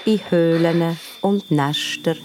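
An actress with a Swiss German accent as a soothing, hypnotic narrator of a scripted bedtime sleep story. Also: female voice, lowish voice, slow pace, noisy background. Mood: soothing, drowsy.

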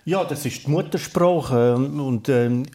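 A man's voice: medium pitch, a little slow, mellow. A man in his sixties from Graubünden, a native Romansh speaker who talks Swiss German with a Romansh tinge, speaking as a guest in a thoughtful, hesitant way. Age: 60s.

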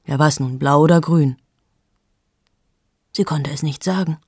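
A woman's voice: deep voice, unhurried, breathy edge, no room sound, studio quality, exaggerated voice. An actress in her thirties playing a cold, self-absorbed journalist in a radio drama monologue, talking straight to the listener and often dropping into a whisper. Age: 30s. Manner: shifts into hushed whispers, addresses the listener directly. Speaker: monologue speaker.

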